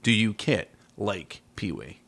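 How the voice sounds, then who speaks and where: A man's voice: fairly low pitch, lively intonation, takes it slowly, creaky, fairly bright tone. A man co-hosting a podcast in casual movie chat with a child, teasing and playful as he talks. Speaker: podcast host.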